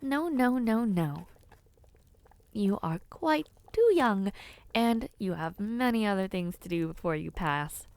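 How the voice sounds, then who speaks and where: Woman acting a kindly witch, swooping, unhurried speech. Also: medium pitch, animated, dry recording. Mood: wistful, tender. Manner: gentle, theatrical, chatty, with airy hums and small laughs. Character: kindly, whimsical, ancient witch, flustered caring hostess. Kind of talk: immersive audio roleplay, addressed to the listener.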